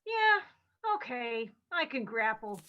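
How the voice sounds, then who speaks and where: A woman playing a high, hammy cowboy outlaw. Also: sing-song, takes it slowly, dull audio, a little hiss or hum. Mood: grumbling. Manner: hammy, melodramatic. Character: cocky burly male Wild West bank robber outlaw. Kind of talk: radio play acting.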